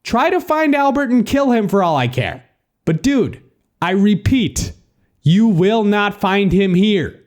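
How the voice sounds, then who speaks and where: A man plays a brash, sarcastic audiobook hero. The voice is raised high and swings widely in pitch. The delivery is blunt, mocking and insistent. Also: big pitch swings, measured pace, darkish tone. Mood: annoyed, mocking. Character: brash, foul-mouthed, sarcastic narrator hero of a post-apocalyptic tale. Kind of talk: audiobook character dialogue.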